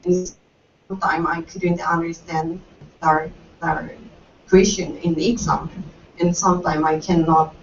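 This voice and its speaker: Slow-speaking Japanese woman student, distant. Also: lowish voice, animated, a little hiss or hum. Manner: halting, laughs between points. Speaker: student panelist. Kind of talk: panel answer. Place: conference room. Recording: echoey, distant, dull room audio.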